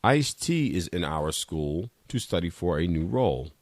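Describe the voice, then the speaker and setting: A man's voice: sits low, very animated, unhurried, no room sound, studio quality. A man reading a short first-person literary piece aloud as a reader at a literary event.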